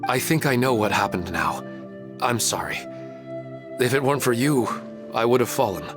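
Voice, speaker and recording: A man's voice: medium pitch, lively intonation, normal speed, over music, lightly acted. A man playing a mild, apologetic young man in a video game, hesitant and polite. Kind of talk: video game character dialogue.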